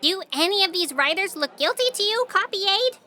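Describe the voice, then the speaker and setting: A woman's voice: very high-pitched, lively intonation, fairly quick, bright, forceful tone, full character voice. A woman playing a perky, eager, pleasure-loving young investigator in a comedic audio drama. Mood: inquisitive.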